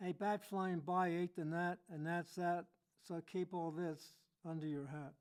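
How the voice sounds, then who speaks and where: High, clear-voiced man reciting light verse. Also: steady tone, a little slow. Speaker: poet. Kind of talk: reading own light rhyming verse.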